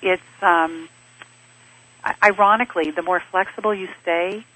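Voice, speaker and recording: A woman with a bright, slightly husky voice speaks in a measured, explanatory manner as an interview guest. She grew up in Switzerland and lays out her ideas in steady lists of points. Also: on the low side, low-quality recording. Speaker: interview guest, business advisor. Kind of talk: thoughtful conversational interview answers.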